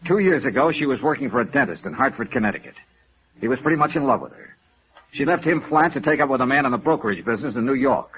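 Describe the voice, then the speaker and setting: Old lo-fi radio noir: male actor plays persistent American investigator, clipped and matter-of-fact, with a very varied pitch. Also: lively intonation, fast, natural voice. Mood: firm, pressing. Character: world-weary, persistent male insurance investigator narrating his case. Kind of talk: 1950s radio noir acting and narration. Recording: dull vintage radio recording.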